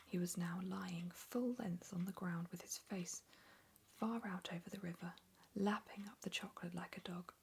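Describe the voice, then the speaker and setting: A woman whispering a storybook reading in a low, hushed voice. A faint hiss and small clicks sit behind her. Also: fairly even intonation, moderate pace, creaky.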